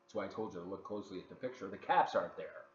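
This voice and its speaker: A man reading a children's story aloud from his living room, expressive and talking directly to the kids with asides. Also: sits low, racing. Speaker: volunteer children's story reader. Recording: dull, roomy home recording with faint noise.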